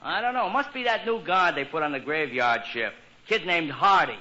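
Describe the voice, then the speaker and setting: High-voiced wisecracking workman, old radio. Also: animated, moderate pace, bright, forceful tone. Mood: teasing. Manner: chuckling, slangy wisecracks. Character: mischievous wisecracking warehouse workman named Joe. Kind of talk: 1940s radio comedy acting. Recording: noisy muffled 1940s radio recording.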